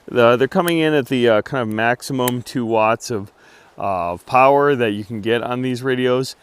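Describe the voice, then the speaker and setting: Brief: male product reviewer, casual and unscripted, thinking aloud with halting phrasing, dry recording free of room echo. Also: mid-range voice, clean tone. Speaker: gear reviewer.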